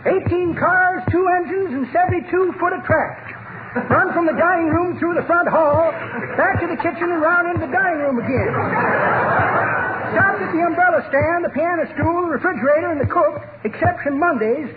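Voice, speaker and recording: Radio comedy old-timer: folksy tall-tale teller, piping high voice, drawling, thin vintage broadcast sound. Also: male voice, brisk, over a noisy crowd. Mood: folksy, boastful. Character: chirpy folksy old codger, spinning tall tales. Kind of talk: 1940s radio comedy acting. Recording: noisy, tinny 1940s radio broadcast.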